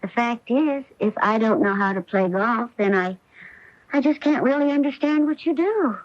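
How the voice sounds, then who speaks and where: An actress playing a sweet, self-assured and determined young wife in a radio mystery drama. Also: female voice, fairly high pitch, animated, moderate pace, no room sound, lightly acted. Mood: coaxing. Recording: dull lo-fi AM radio broadcast.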